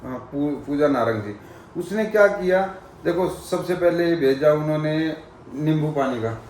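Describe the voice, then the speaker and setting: A man vlogging in casual chat with a friend, talking in an animated, emphatic way. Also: on the high side, moderate pace, echoey room, slight background noise, low-quality recording. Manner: animated, emphatic, talks over others.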